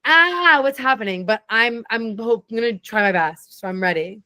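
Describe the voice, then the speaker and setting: A young woman guesting on a podcast, chatting eagerly in a low, raspy voice with plenty of vocal fry. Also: animated, sharp tone, dry recording. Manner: chatty and enthusiastic, quick tangents. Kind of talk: casual chat.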